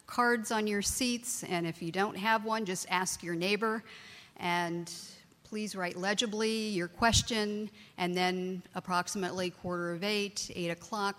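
A woman making housekeeping announcements before an event, in a plain, slightly halting way. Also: lowish voice, a bit bright. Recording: reverberant auditorium.

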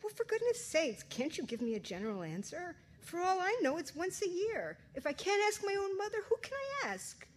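Here is an excitable teenage girl pressing her point with one pushy question after another, as a woman reading from her book puts her on. The voice jumps up high and swings about as she pleads, exasperated and insistent, in a storybook reading. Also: normal speed.

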